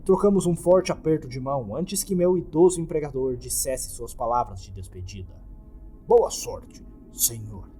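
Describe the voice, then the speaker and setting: A man's voice: medium pitch, lively intonation, a little fast, few pauses, dark tone. A man with a Brazilian accent narrating a scripted horror story in a theatrical, dramatic storytelling manner.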